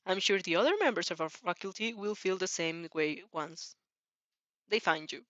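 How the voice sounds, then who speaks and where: A woman's voice: on the low side, normal speed, fairly bright tone, no echo, dull audio, close to an everyday voice. A woman voices a kindly male principal in a fan audio drama. He is polite, welcoming and a little formal. The recording is dry. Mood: welcoming, pleased.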